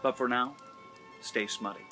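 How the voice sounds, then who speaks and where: A man's voice: mid-range voice, unhurried, over music. Man reading smut with mock gravity. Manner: mock-serious storytelling. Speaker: narrator and host. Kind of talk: dramatic reading of erotic fiction.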